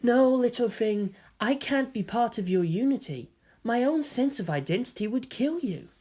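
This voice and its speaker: A silky young male schemer, acted out by a story reader speaks softly and with condescension. His pitch swoops as he lectures, sounding smug and faintly inhuman in a dramatic fiction reading. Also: animated, normal speed, natural voice. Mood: musing.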